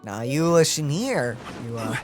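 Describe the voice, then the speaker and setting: A man's voice: medium pitch, sing-song, unhurried, soft background music. A man streaming a casual, playful, joking reaction to a video game.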